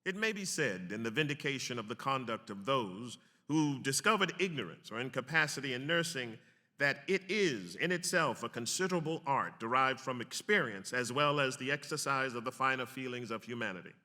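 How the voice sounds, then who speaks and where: Piercingly bright, forceful male reader in a hall. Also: mid-range voice, animated, fairly quick. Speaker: actor reading aloud. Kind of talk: dramatic reading of a historic pamphlet. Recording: long hall reverb.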